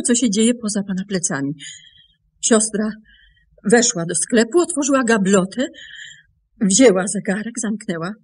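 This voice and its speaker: An actress playing a grave, composed woman with a secret to confess in a radio play, speaking deliberately with pauses. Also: a little high, a little mellow, slightly rough. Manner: deliberate, measured, broken by pauses.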